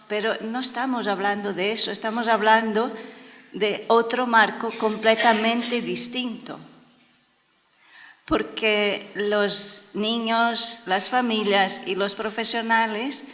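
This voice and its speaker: Woman, Brazilian Portuguese accent, unhurried, earnest, echoing hall. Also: medium pitch, fairly bright tone. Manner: earnest and emphatic, repeats words for stress. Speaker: education speaker and consultant. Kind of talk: conference talk. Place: large conference hall. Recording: long hall reverb.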